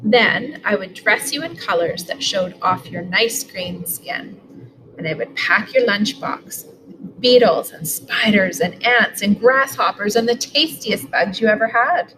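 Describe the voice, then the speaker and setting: A woman's voice: mid-range voice, lively intonation, a little slow, noisy background. A woman, reading a picture book aloud at home. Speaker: school superintendent reading aloud. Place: living room sofa, indoors. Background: music.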